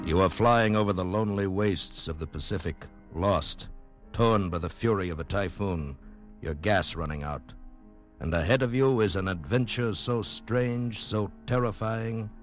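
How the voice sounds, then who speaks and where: A man with a low voice announces over faint music. His delivery is theatrical and ominous, in the dramatic manner of mid-century radio. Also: a little slow. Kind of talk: dramatic 1940s-50s radio narration.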